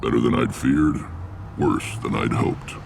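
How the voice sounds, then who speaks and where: A man voicing a huge, gruff alien clan leader in a video game, gravelly, blunt and authoritative. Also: measured pace, a little mellow, slight background noise, exaggerated voice. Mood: stern. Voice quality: gravelly, rumbling.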